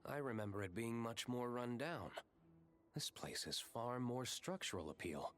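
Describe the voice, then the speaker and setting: Man doing a refined reserved gent, avg pitch, formal n understated, game voice, faint music. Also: lively intonation, normal speed, fairly bright tone, natural voice. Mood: observant. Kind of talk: video game voice acting.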